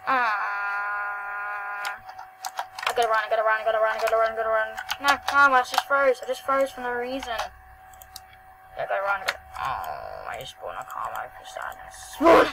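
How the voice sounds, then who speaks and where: A female host giving excitable, rambling gameplay commentary over a screen recording, often trailing off into mumbles. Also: fairly high pitch, moderate pace. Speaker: gaming channel host. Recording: steady hum behind the voice.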